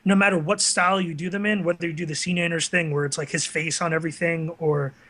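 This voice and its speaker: A man's voice: fairly high pitch, steady tone, fast talker, bright, forceful tone. A man hosting a casual talk show, speaking conversationally with an enthusiastic manner.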